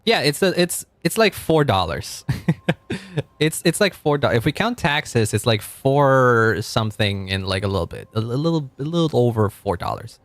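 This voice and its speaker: A man from Argentina streaming casually, rambling, correcting himself and chuckling as he reads chat, with a deep voice. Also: sing-song, normal speed, fairly bright tone, no room sound.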